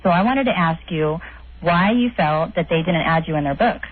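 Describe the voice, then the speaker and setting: A woman's voice: fairly low pitch, animated, moderate pace. A young adult woman, a student calling in, talking casually in an earnest, persistent way over a phone. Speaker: phone-in caller, college student. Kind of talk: casual, unscripted call. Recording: dull, faintly noisy phone call.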